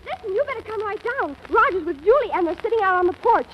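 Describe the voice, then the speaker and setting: A woman playing an excitable, tattling young girl in a 1940s radio drama. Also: very high-pitched, fast, highs cut off. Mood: urgent, excited. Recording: noisy old radio recording.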